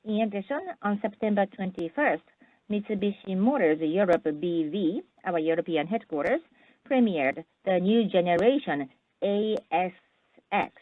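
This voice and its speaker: A woman with a fairly low voice presents scripted corporate material over a narrow, phone-like line. The sound is dry and close, without room echo. She reads formally and at a measured pace, with the occasional stumble. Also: lively intonation. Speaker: corporate earnings call presenter.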